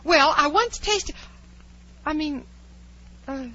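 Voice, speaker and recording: A woman's voice: high voice, slow, sharp tone, full character voice. A woman playing a polite, prim, inquisitive young girl in a 1940s radio drama. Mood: curious. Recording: dull, lo-fi 1940s radio recording.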